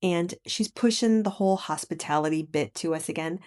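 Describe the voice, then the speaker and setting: Low, scratchy-voiced woman ranting casually. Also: brisk, darkish tone. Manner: chatty and indignant. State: scratchy, froggy voice from a sore throat. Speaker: channel host. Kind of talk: casual commentary vlog. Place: in bed at home. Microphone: microphone with laptop.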